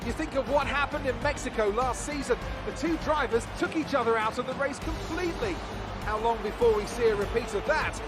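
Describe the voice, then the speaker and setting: Male motorsport commentator for a video game, concerned, emphatic, very high pitch, prominent music underneath. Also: fairly quick.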